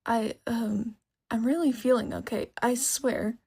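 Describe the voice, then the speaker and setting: Woman voicing a timid, husky teenage boy. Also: on the high side, fairly even intonation, a little slow, warm tone, full character voice. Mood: nervous, polite. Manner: hesitant, polite.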